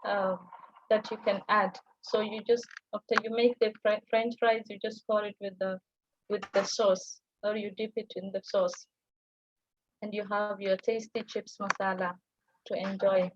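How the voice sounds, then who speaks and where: A woman's voice: medium pitch, little pitch movement, unhurried. A young woman with a Kenyan accent, whose first language is Somali, explaining at an easy pace with many fillers. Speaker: guest language teacher. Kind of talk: presenting on a livestream call. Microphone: video call. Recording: muffled video call audio with light noise.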